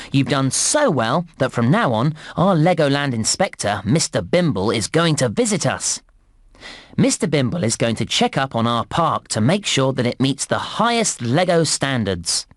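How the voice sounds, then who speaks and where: A cheerful, eager British theme park guide for a children's video game. His mid-pitched voice swings up and down with lively expression. He enunciates clearly and bounces along encouragingly, in a dry, close recording. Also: no room sound. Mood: upbeat, explanatory. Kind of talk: scripted children's game narration.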